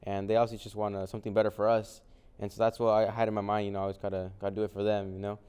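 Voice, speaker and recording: A young man from Mexico, a native Spanish speaker, answering interview questions in a relaxed way, full of "um" and "like". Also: lowish voice, very fast, smooth. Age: young adult. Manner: relaxed, many fillers like "um" and "like". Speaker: guest, vintage clothing reseller. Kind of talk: casual interview conversation.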